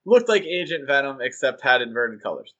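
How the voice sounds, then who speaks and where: A man's voice: medium pitch, very animated, fairly quick, pushed and bright, dull audio. A man co-hosting a podcast, talking casually and joking with many fillers. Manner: chatty, joking, with frequent fillers. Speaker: podcast co-host.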